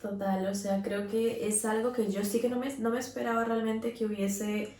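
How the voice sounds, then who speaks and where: A woman's voice: a little high, flat delivery, normal speed. A woman in her twenties with a Colombian accent, talking casually as a podcast co-host. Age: 20s. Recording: roomy echo, distant from the mic.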